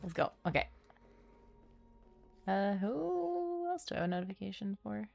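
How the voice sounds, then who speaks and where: A woman's voice: mid-range voice, big pitch swings, normal speed, creaky, darkish tone, soft background music. A woman livestreaming a game, thinking aloud in a casual, playful way. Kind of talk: casual gameplay commentary.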